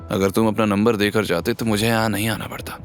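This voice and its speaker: A man's voice: fairly low pitch, little pitch movement, fast talker, soft background music, natural voice. A man playing a haughty, proud young rich man in an audio drama. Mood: arrogant. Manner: haughty, then more restrained. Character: arrogant young rich man swallowing his ego.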